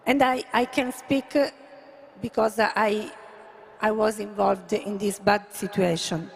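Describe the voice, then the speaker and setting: A woman with an Italian accent moderating a live conference panel in halting, hesitant English with repeated words. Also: mid-range voice, fairly even intonation, creaky, breathy edge. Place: conference venue. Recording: faint live venue background noise.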